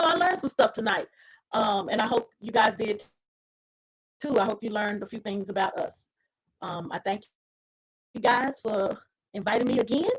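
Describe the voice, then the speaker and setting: A woman guest on a podcast, talking casually in a halting way with many fillers as she gives thanks. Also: mid-range voice, breathy edge, low-quality recording.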